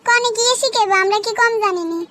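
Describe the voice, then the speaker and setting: Cartoon casting: demanding young Bangladeshi wife, Noakhali accent, extremely high and very fast, nagging, faint noise behind. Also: female voice, fairly bright tone. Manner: nagging, insistent. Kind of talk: comedy cartoon dialogue.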